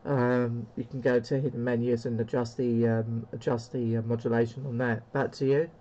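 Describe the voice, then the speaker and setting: Man, CB radio operator, casual chat, dull lo-fi sound, medium pitch. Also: level tone, moderate pace, warm tone. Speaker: CB radio operator demonstrating a rig. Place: indoor room with stacked radio equipment. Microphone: handheld CB radio microphone.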